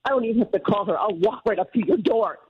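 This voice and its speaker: A woman's voice: medium pitch, fast talker, breathy edge. A woman in a confrontational phone call, insistent and repeating herself. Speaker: caller confronting her lover.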